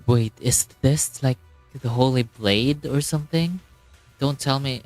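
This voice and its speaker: A man's voice: mid-range voice, slow pace, faint music behind. A man doing casual live game commentary, thinking aloud in an informal, exclamatory way. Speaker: streamer reacting to a game story.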